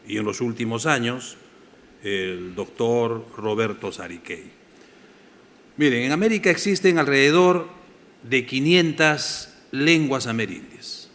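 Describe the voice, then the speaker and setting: A man moderating a conference panel, giving formal, measured introductions of speakers. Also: mid-range voice, a bit bright. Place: large conference hall. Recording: reverberant hall with faint noise.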